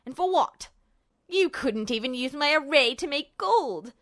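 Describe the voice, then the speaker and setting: A woman's voice: sits high, expressive, measured pace, fairly bright tone. A woman voicing a bitter, unhinged male villain, smug and sneering, in an audiobook story. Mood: bitter rage. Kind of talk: audiobook-style character acting.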